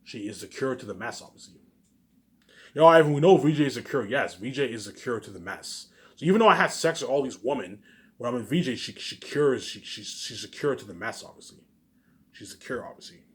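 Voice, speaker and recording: Male vlogger, rapid informal delivery, mid-pitched, self-aggrandizing, loops back on himself. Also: expressive, a bit bright. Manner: repetitive, circling back over the same phrases. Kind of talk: rambling informal monologue to viewers.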